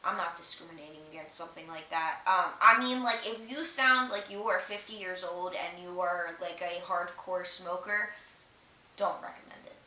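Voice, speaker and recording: A woman's voice: fairly low pitch, normal speed, pushed and bright. A woman hosting a livestream, chatting casually and explaining things in a rambling, hesitant, informal way. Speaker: livestream host. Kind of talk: casual livestream chat, explaining and reading out viewer comments. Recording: roomy, distant mic with faint hiss.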